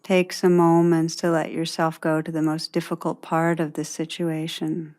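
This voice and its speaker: A woman leading a guided meditation, speaking gently and deliberately, with spacious pauses between phrases. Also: sits low, monotone.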